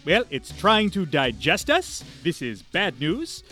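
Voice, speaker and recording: A man's voice: on the high side, wildly swooping pitch, slow pace, bright, forceful tone, faint music behind. A man chatting casually as a player in a tabletop roleplaying game, slipping in and out of character.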